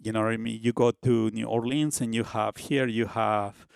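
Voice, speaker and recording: A man's voice: lowish voice, fairly even intonation, normal speed, creaky, no echo, studio quality. A man in his fifties from Peru with a Peruvian Spanish accent, a shaman guest in a casual podcast interview, rambling and often asking "you know what I mean?". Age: 50s.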